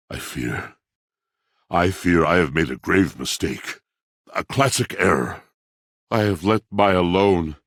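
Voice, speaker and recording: Deep, pompous, brooding washing machine. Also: male voice, husky, dry recording, clean studio sound, exaggerated voice. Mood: wistful, mournful. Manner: pompous, archaic, florid, brooding diction. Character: pompous, melancholy old-fashioned talking washing machine, male, literary snob. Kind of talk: theatrical video game character voice acting.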